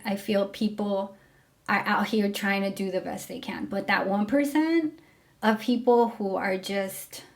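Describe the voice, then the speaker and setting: A young woman from Mexico talking candidly to camera, frank and full of fillers, about her time as a driver. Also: medium pitch. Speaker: former rideshare driver. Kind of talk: casual storytelling to camera.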